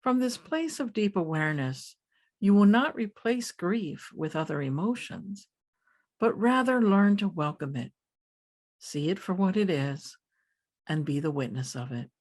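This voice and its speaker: A woman leading a guided meditation as a teacher, speaking calmly in deliberate phrases with pauses. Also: on the low side.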